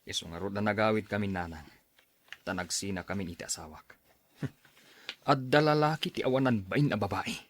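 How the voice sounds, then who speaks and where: An actor in a radio drama playing a troubled, downcast grown son. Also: male voice, fairly low pitch, normal speed, pauses often, no room sound, natural voice.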